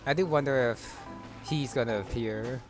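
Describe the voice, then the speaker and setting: A man's voice: mid-range voice, moderate pace. A man giving casual let's-play commentary, musing to himself in short remarks as he plays a video game. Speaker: gamer commenting on his playthrough. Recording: game music under the commentary. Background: game music.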